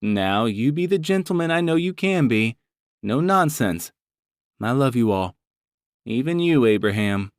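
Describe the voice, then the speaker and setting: A man's voice: mid-range voice, expressive, a little slow, clear, resonant tone, no room sound, clean studio sound, pitched above the performer's own voice, close to an everyday voice. A man voicing a doting, fussing mother who scolds her grown sons with affection. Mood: scolding. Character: warm doting middle-aged mother.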